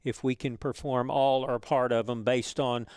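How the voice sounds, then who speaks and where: Crisp-voiced man explaining procedures methodically. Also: mid-range voice, fairly even intonation, moderate pace, darkish tone, dry recording, clean studio sound. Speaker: organization official co-presenting a webinar. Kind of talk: explanatory presentation.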